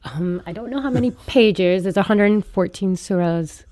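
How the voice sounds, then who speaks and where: A woman's voice: medium pitch, moderate pace, mellow, no room sound. A woman talking conversationally as a guest on a radio panel. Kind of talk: conversational interview talk. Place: radio studio.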